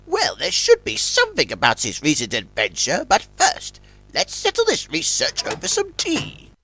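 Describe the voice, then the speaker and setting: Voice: mid-range voice, huge pitch swings, moderate pace, bright, forceful tone, a little hiss or hum, heavily acted. An actor playing a kindly, eccentric old male tortoise professor in an audio drama. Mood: knowing.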